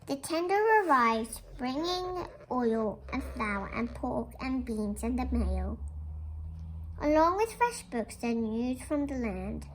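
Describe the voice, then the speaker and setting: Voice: medium pitch, slight background noise, poor audio. A child presenter reading a picture book aloud expressively, with chatty asides to young listeners. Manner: expressive, sing-song repeated greetings, addresses young listeners.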